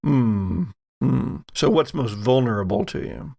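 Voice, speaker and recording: Man, game master, creaky low-end fry, unhurried, dramatic horror narration, close dry sound. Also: lively intonation, darkish tone, no room sound. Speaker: game master of a tabletop RPG podcast.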